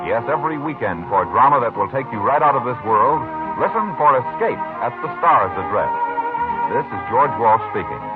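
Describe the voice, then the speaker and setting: A man announcing scripted credits and promos in 1950s radio style, with a husky voice. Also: mid-range voice, sing-song, normal speed, music in the background. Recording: tinny 1950s radio broadcast.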